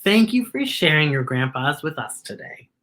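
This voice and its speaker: A story time host voicing a kind, encouraging woman schoolteacher with a gentle, praising manner. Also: mid-range voice, sing-song, moderate pace. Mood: gentle praise.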